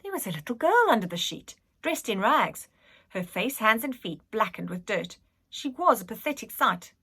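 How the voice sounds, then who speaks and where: Animated, bright-voiced woman reading a storybook. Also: a little high, normal speed, slightly rough. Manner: animated, dramatic, with whispered suspense. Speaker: reader, school staff member. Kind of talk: expressive storybook read-aloud for children.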